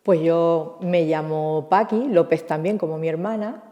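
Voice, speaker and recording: A woman in her sixties, a former shoemaker, recalling her working life quickly and with animation in an interview. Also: low voice, in a large hall, highs cut off. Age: 60s. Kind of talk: documentary interview testimony.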